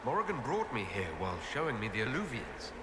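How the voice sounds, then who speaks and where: An actor playing a human male leader in a video game, measured, commanding and dryly wry. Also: medium pitch, very animated, background noise, close to an everyday voice. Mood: grave, resolute. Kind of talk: video game cutscene acting.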